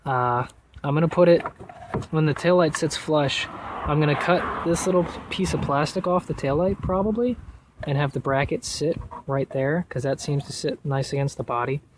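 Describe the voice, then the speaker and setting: A man giving a casual, hands-on do-it-yourself tutorial in a halting, thinking-aloud way. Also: fairly high pitch. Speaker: DIY car project vlogger. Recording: noisy recording with clicks.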